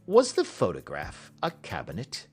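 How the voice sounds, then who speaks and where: A man voicing a cool, unruffled gentleman detective with a polite, airy, precise manner, in an audiobook story. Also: low voice, huge pitch swings, moderate pace, faint music behind. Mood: businesslike.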